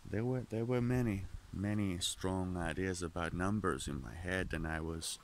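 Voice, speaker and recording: A man with a low, rough, fry-laden voice talks straight to the camera in a loose, profane monologue. He keeps stopping, restarting and wandering off track, and English is not his first language, since he grew up speaking Finnish. A light hiss of background noise sits under his voice. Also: normal speed. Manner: candid, profane, meandering with many restarts. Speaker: vlogger.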